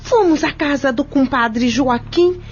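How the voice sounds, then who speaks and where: A woman plays a terrified mother in a Brazilian radio play. She pleads in a piercingly high, fearful voice. The audio is dull and slightly noisy. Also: measured pace. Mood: frightened, pleading. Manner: fearful, imploring. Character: terrified, pleading young mother, a farm worker's wife. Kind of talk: Brazilian audio drama acting. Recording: dull lo-fi audio with background noise.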